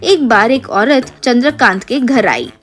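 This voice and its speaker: A woman narrates a children's moral tale. Her voice is fairly high and follows a scripted storytelling delivery, with light music behind it. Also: sing-song, measured pace.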